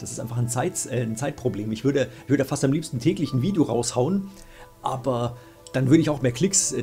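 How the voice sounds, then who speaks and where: Gravelly-voiced young man chatting casually. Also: mid-range voice, warm tone. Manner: chatty, thinking aloud with fillers, reading out viewer questions. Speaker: host answering viewer questions. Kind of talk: casual chat. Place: small room indoors, at a desk.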